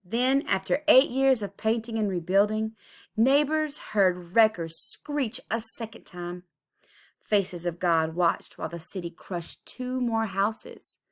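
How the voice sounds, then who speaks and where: A woman reading a children's picture book aloud expressively, with deliberate, dramatic emphasis. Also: mid-range voice. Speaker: storybook reader.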